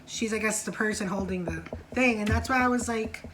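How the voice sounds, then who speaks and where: A woman's voice: medium pitch, fairly quick, background noise. A woman hosting a casual review vlog, chatting in a slangy way and often checking for agreement. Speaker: vlog host reviewing a TV show.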